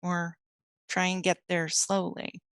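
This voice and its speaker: A woman narrating as storyteller for a tabletop werewolf game, setting scenes slowly and deliberately. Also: fairly low pitch, monotone, a bit bright, clear, resonant tone, no echo.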